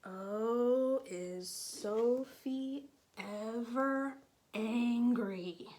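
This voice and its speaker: A woman teacher reading a picture book to young children, explaining patiently and pausing to ask them questions. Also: on the high side, mellow, a little hiss or hum. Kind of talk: children's read-aloud with questions to listeners.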